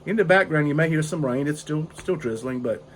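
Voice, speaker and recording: An older man, raspy and hoarse from a cold, rambling slowly through a casual story on his porch. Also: a little high, a little hiss or hum. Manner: slow, rambling, with frequent fillers. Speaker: pipe-smoking video host. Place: outdoor porch in drizzling rain.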